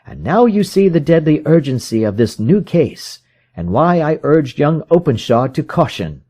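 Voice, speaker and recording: A man's voice: fairly high pitch, sing-song, normal speed, warm tone, husky. A man reading a storybook detective who is keen, confident and methodical, reasoning aloud with emphatic, probing questions. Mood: urgent, emphatic.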